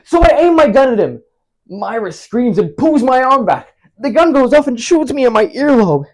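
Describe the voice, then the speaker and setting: A male actor playing a flustered married man in a theatrical comic monologue, stammering and pausing dramatically as he recounts a disastrous night. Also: a little high, sing-song, poor audio. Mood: frantic, flustered. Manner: stammering, dramatic pauses, big emotional swings.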